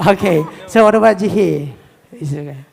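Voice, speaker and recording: Creaky-voiced Indonesian man moderating in a hall. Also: high voice, moderate pace, a little mellow. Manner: repetitive okays and yeahs. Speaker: moderator of a panel discussion. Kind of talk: informal live event hosting in simple English. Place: hall on a stage, live event. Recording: echoey hall sound.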